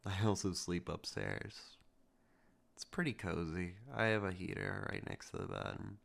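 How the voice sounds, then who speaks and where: A man performing a romantic ASMR roleplay to the listener, soft, intimate and teasing with a possessive edge. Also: measured pace. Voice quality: low gravelly voice with heavy vocal fry.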